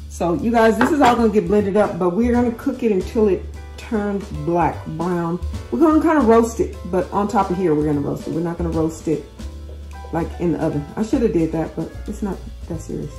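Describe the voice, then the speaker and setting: A woman's voice: fairly low pitch, animated, fairly quick, warm tone, faint music behind. Chatty woman cooking at home. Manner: chatty, talks straight to viewers, restarts and corrects herself. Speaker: cooking channel host. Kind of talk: casual cooking tutorial. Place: home kitchen.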